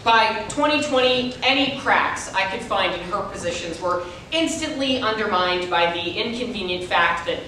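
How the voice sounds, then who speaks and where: A woman's voice: mid-range voice, lively intonation, moderate pace, few pauses. Young woman doing reader's theater, emphatic stagey delivery, far from mic, lots of noise. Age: young adult. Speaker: student forensics competitor. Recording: echoey room from far off, loud noise.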